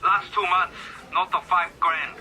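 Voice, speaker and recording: A man's voice: high-pitched, fairly even intonation, measured pace, bright, forceful tone, full character voice. An actor playing a blunt, matey old-school male seafood supplier, heard through a telephone filter with slangy talk. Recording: phone call with background chatter.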